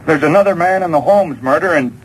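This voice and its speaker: An American actor playing a nervous, weaselly small-time stooge who stammers and pleads in an old-time radio drama. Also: male voice, fairly high pitch, a little fast, close to an everyday voice. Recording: noisy old radio show taped off air.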